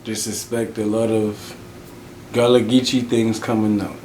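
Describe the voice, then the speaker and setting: Casting: laid-back man, lower voice, echoey room with faint hiss, interview guest. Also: little pitch movement, measured pace, darkish tone. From South Carolina, US. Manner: laid-back, says "you feel me" a lot. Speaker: musician guest. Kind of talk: casual interview chat.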